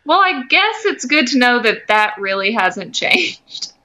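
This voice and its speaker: A woman co-hosting a casual podcast, playful and expressive, laughing often. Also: on the high side, measured pace. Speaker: podcast co-host. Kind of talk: casual pop-culture chat.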